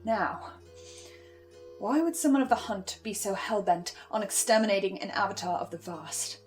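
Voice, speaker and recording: A woman playing a composed, eerie researcher in a horror audio drama, speaking in a deliberate theatrical way. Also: mid-range voice, expressive, a little mellow, soft background music, natural voice. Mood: cold, menacing. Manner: slow, deliberate, ominous, suave.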